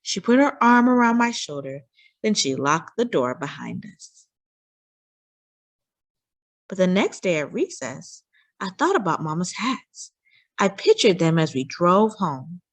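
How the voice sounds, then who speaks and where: A woman reading a children's picture book aloud, expressively and deliberately, with dramatic delivery. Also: lowish voice, very animated, no room sound. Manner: deliberate and dramatic, acting out dialogue. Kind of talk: expressive scripted read-aloud narration.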